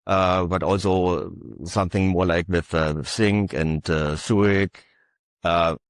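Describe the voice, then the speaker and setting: A man with a very low voice presents at an industry conference session. He speaks haltingly, stopping for frequent uhs. The sound is dry and close. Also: fairly even intonation, clear, resonant tone, dry recording. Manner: halting, many filler uhs. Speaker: conference panelist.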